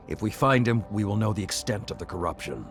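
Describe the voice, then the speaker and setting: A battle-worn old warlord from a video game, very deep and commanding. His delivery is stern and formal, rising and falling dramatically, with a musical score behind it. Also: expressive, fast talker, soft background music. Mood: grave, firm. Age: older.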